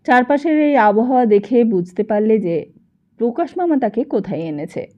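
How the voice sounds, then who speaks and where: A woman narrating a literary novel as an expressive audiobook storyteller. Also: a little high, moderate pace, highs cut off.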